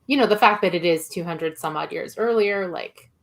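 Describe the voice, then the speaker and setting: A woman's voice: fairly low pitch, moderate pace. A woman speaking as a guest on a podcast, chatting casually as she reviews something.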